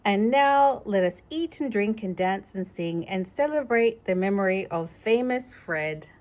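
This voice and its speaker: A woman's voice: medium pitch, expressive, a little slow, a little mellow, lightly acted. A woman voicing solemn cat mourners from a storybook, speaking in a theatrical, declamatory way. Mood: ceremonious.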